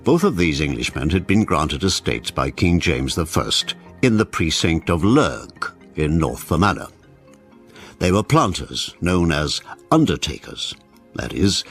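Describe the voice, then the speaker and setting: A man giving scripted documentary narration in a steady, explanatory manner. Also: low voice, breathy edge.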